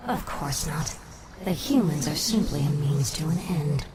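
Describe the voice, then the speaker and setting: A woman's voice: low voice, lively intonation, measured pace, very noisy. An actress playing a vengeful, cunning undead elf queen in a video game, controlled and imperious. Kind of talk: video game cutscene acting. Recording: game audio under loud music.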